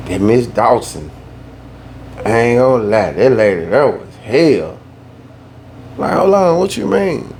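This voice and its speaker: Laid-back gravelly young Southern man. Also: mid-range voice, takes it slowly, long pauses, slight background noise. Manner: laid-back slangy storytelling, animated when worked up. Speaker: vlogger telling a story. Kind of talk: casual storytime.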